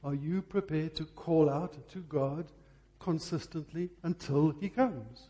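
Man, revival preacher, very husky, earnest, deliberate, repetitive emphasis, echoing hall. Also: a little high, warm tone. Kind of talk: church revival sermon. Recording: husky, very reverberant church hall sound.

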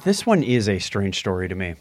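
A male podcast host in casual news-chat mode. His pitch moves around in a lively way, and his delivery is conversational and halting, with a mildly skeptical edge. The sound is close and dry. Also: dry recording, soft background music.

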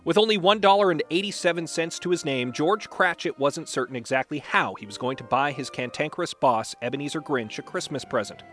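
A man hosting a podcast, narrating in a wry, deliberate storytelling way. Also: fairly high pitch, a bit bright, faint music behind. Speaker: podcast host.

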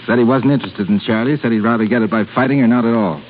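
A man's voice: medium pitch, very fast, lightly acted. An actor playing an earnest, proud, heartsick young man in a 1930s radio drama. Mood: regretful. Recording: noisy narrow-band 1930s radio broadcast.

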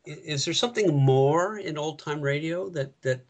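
A man's voice: on the high side, normal speed, darkish tone. A man taking part in a casual panel discussion and putting questions to the others. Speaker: panel participant asking questions.

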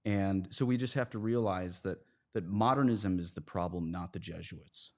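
A man talking through his points in a casual podcast discussion, often halting and correcting himself as he explains. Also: low-pitched, darkish tone. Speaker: priest and podcast guest.

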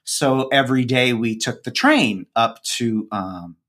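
A man's voice: medium pitch, measured pace, fairly bright tone. A man speaking as a podcast guest in a casual conversation, telling stories in a chatty, self-deprecating way.

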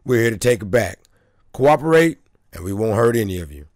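A man voicing a tough wolf-man mercenary who speaks in a deep, serious, steady and threatening way. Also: brisk, no echo, studio quality. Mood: commanding. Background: background music.

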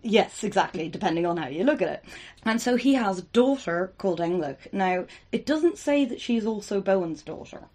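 A woman's voice: mid-range voice, lively intonation, normal speed, a little mellow. A woman in a casual chat, explaining old stories thoughtfully with fillers. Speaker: podcast co-host. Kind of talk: casual chat retelling myths.

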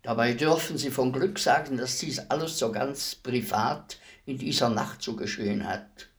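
An actor playing a servile state executioner in a radio play, terse, deferential and matter-of-fact. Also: male voice, mid-range voice, normal speed, lightly acted. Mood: quiet regret. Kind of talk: German radio play acting.

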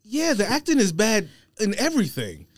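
A man's voice: high-pitched, wildly swooping pitch, moderate pace, dry recording, clean studio sound. An American man on a podcast panel, chatting casually in an easygoing, conciliatory way. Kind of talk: casual chat.

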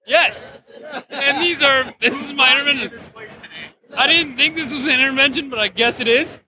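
A young man in his twenties hams it up with melodramatic jokes. His voice is very high and very bright. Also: normal speed. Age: 20s. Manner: jokey and melodramatic.

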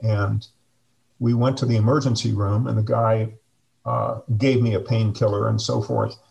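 A middle-aged man with a deep, heavily gravelly voice talks in slow, measured phrases. He pauses often with fillers as he reflects, heard through a video call microphone. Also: little pitch movement, a little mellow, low-quality recording. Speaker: interview guest, district superintendent. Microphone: video call webcam.

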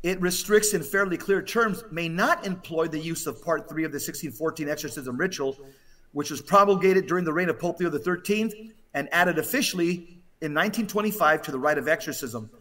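A man's voice: fairly high pitch, brisk, sharp tone. A man giving impassioned religious exhortation as a podcast commentator, urgent and emphatic, often repeating phrases for weight.

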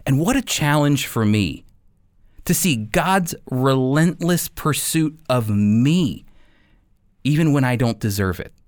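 A man with a mid-pitched voice delivers scripted Bible teaching for radio. He sounds earnest and emphatic, shaping each phrase deliberately, as a program host addressing listeners. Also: animated.

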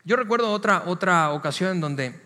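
A man's voice: sits high, expressive, normal speed, bright, forceful tone. A man with a Venezuelan Spanish accent gives a conversational sermon as a pastor, speaking emphatically and repeating key phrases. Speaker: church pastor. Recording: long hall reverb.

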